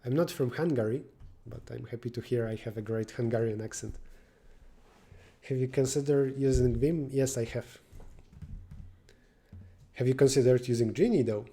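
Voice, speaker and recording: A man with a mellow, darker tone talks through programming problems on a live stream, thinking aloud as he goes. He breaks off now and then to answer chat messages. At times he sinks into quiet muttering while he works out the details. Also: medium pitch, normal speed, pauses often, slightly rough.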